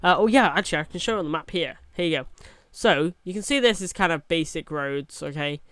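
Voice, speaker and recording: A man's voice: a little high, expressive, a little fast, fairly bright tone, smooth, no room sound. A young man with a cold, chatting casually over a truck driving game, rambling with fillers and restarts. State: has a cold. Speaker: gaming YouTuber. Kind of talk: casual gameplay commentary.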